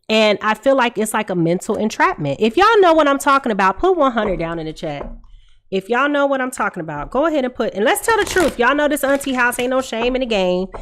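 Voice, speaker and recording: Congested woman chatting on a stream. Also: mid-range voice. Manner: chatty, confiding, calls out to viewers. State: congested from a cold. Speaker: tarot reader hosting a livestream. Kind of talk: casual livestream chat. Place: home room indoors. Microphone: desk microphone in front of her.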